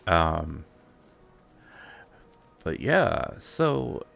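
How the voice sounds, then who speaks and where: Low gravelly congested man, slow and halting. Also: very animated, a bit bright. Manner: halting, full of fillers and restarts. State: congested from allergies. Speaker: streamer host. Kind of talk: casual gaming stream chat.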